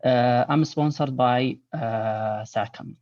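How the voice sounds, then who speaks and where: A man's voice: medium pitch, slow pace, a little mellow, no room sound, distant mic. A man introduces himself as a graduate student on a video call. He sounds set back from the mic, and the audio is dull and dry.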